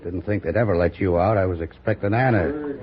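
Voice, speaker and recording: An actor playing a sly, hard-drinking, treacherous outlaw with drawling rustic speech in an old-time radio western. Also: male voice, sits low, lively intonation, fairly quick. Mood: sly, needling. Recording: muffled old radio recording, background crowd noise.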